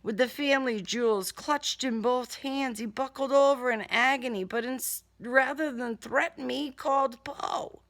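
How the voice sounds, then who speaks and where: A woman author reading her own first-person thriller dramatically, with suspense and short, deliberate phrases. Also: sits high, a bit bright.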